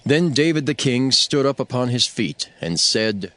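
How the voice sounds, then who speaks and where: A man narrating scripture as a scripted audio reading, with formal and deliberate phrasing. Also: mid-range voice, animated, no echo. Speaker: Bible narrator. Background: soft background music.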